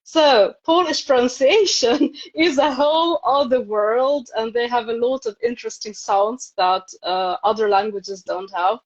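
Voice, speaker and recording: Higher-pitched Ukrainian woman, muffled call audio. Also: a little slow, hardly any pauses. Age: 30s. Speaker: interview guest, teacher. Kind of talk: casual interview chat. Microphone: video call. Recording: dull, lo-fi video live stream.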